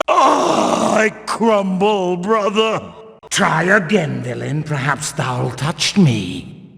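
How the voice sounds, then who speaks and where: A zealous, pious male temple guard for a fantasy video game. He declaims in archaic, righteous phrases with big swings of pitch. The delivery is slow and weighty, drenched in long hall reverb. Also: gravelly, pushed and bright, exaggerated voice. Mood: pained cries, then menacing, taunting. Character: stern, zealous male religious warrior guard of a builder cult.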